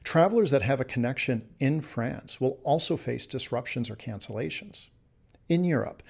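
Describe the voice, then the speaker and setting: A man's voice: mid-range voice, gravelly, darkish tone, slightly rough. A man hosting a travel news update, reading from a script in a presenter's style.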